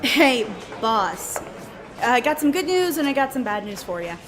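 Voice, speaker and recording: An actress plays a flirty forensics colleague with a slightly high voice. She is breezy and teasing, in an audio drama with clear noise and ambience behind her. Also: very animated, a little slow. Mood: breezy, informative. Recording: noticeable background noise and ambience.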